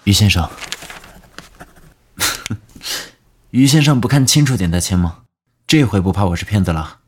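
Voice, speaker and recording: A male actor as a courteous young delivery man in an audio drama. His voice is low and husky, gently polite with a teasing streak. Music sits quietly behind him. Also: lively intonation, unhurried. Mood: teasing, warm. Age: young adult. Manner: soft-spoken, courteous. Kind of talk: Chinese audio drama acting. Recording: music under the voice.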